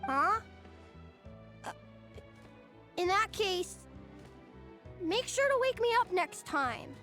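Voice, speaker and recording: A woman's voice: very high-pitched, sing-song, takes it slowly, sharp tone, soft background music, heavily acted. A woman voicing a curious, impatient young female student who teases and pleads in a video game. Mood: sulky, impatient.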